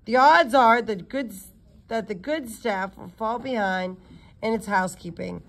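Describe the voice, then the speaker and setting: A woman's voice: fairly high pitch, a little slow. A woman voicing a chummy, wry British man in a storybook, confiding and playful as he explains things. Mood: confiding, proud. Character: chummy, wry British man showing off his secret-equipped hotel.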